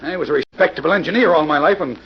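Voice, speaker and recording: An actor playing a nervous, griping older engineer turned crook in a golden-age radio drama. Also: male voice, a little high, racing, creaky, breathy edge, exaggerated voice. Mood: aggrieved. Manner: griping, agitated, anxious. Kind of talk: 1940s radio acting. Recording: muffled, noisy old radio recording.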